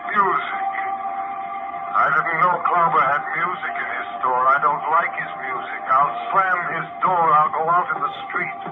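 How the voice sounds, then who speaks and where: A man's voice: on the high side, moderate pace, lightly acted. A man playing a frightened dreaming patient around forty. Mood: uneasy. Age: about 40. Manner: nervous, childlike fear turning to panic. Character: troubled man, about forty, in a nightmare. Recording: tinny lo-fi 1950 radio broadcast. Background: music.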